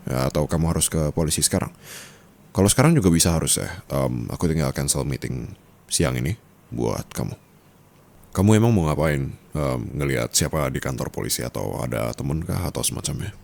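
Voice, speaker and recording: A man with an Indonesian accent playing a dominant, teasing, possessive rich husband in an intimate ASMR roleplay, speaking softly and slipping in English endearments. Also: very deep voice, lively intonation, gravelly, heavily acted. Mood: teasing, caring. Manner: soft, slow, mixes in English endearments.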